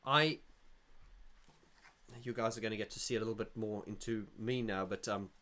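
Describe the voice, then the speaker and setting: A man's voice: fairly low pitch, fairly even intonation, moderate pace, fairly bright tone. A middle-aged man from South Africa hosting a casual livestream, reading viewer comments aloud and rambling with frequent restarts. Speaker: livestream host.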